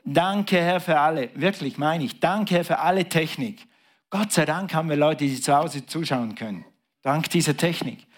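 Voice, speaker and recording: Guy preaching a sermon, kinda high voice, jumps from loud to almost whispering. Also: normal speed. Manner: preaching with big swings in pitch and volume, dropping to a hush. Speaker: pastor.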